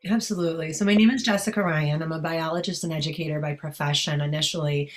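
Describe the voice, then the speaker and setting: A very low woman with a flat, even delivery and a voice hoarse from a cold. She tells her story in a measured way over a video call, with fillers. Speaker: biologist and educator, guest speaker. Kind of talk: telling a personal story on a webinar.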